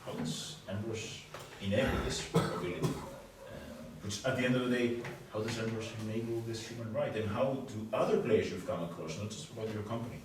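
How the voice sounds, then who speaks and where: Lower-voiced man moderating in a hall. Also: a little fast, few pauses. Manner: hesitant questioning with fillers. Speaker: panel moderator. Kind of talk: panel discussion. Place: large conference hall. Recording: echoey hall, distant mic, ambient noise.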